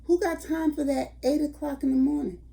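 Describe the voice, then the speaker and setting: A woman's voice: sits high, fairly even intonation, fairly quick, warm tone. An older woman, telling a story to her viewers in a chatty vlog. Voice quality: raspy. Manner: chatty, addresses viewers as y'all. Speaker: vlogger.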